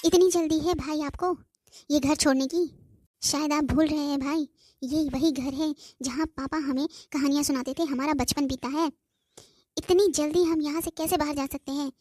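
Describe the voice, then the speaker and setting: Cartoon daughter, young woman actor, very high voice, narrow melody, earnest and emotional pleading. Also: a little mellow, no echo, studio quality, lightly acted. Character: devoted young daughter, pleading, sentimental about home. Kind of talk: amateur cartoon voice acting.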